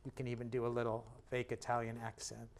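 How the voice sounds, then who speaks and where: A man teaching a painting lesson in a casual, joking way, talking as he works and steps back to look, with a slightly hoarse voice. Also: medium pitch, completely flat delivery, fast, a little hiss or hum. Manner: chatty, self-deprecating and jokey while working; talks while painting and stepping back from the canvas. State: slightly hoarse, clearing his throat. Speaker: painting instructor.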